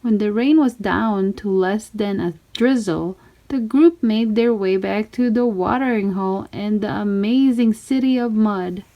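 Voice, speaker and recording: A woman's voice: medium pitch, a little slow, dark tone, low-quality recording. A woman reading a children's story aloud, expressively acting out characters and sound words. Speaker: storybook reader.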